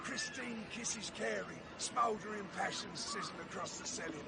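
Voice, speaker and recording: A bitter, vicious fairground man in a vintage radio play. His voice sits fairly high for a man. He taunts in rough slang and carries open menace. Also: normal speed, very noisy. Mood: bitter, cold. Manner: taunting, rough colloquial speech. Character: menacing, vicious-tempered fairground ride operator, bitter husband.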